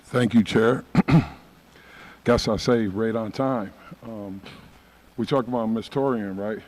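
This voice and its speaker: A man's voice: fairly low pitch, takes it slowly, a little mellow, low-quality recording. A man in his fifties, a councilmember, speaking in a reminiscing way with many fillers during council remarks. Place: council chamber.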